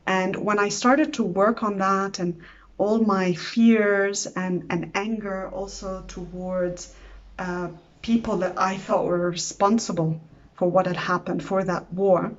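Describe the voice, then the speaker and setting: A woman's voice: mid-range voice, steady tone, slow. Woman in her 40s, earnest and thoughtful, talking to camera, slightly dull lo-fi sound. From born in Lebanon, raised in Greece. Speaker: EFT practitioner. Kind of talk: personal reflective talk to camera.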